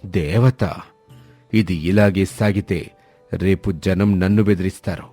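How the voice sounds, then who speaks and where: Deep, dark scheming village landlord. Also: male voice, fairly even intonation, slow, no echo, faint music behind, heavily acted. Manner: menacing, domineering.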